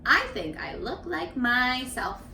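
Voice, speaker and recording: A woman reading a picture book aloud to young children in an expressive, instructive way, speaking to them directly as a teacher. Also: sits high, slow pace, slight background noise. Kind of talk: read-aloud for young children with questions to the listeners. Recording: echoey room, distant microphone.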